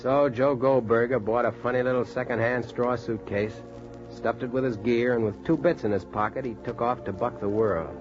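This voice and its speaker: An American man acting an earnest, determined doctor and storyteller in a measured 1940s radio style. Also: mid-range voice, fairly even intonation, natural voice. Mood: stirring, solemn. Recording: muffled vintage radio, music behind.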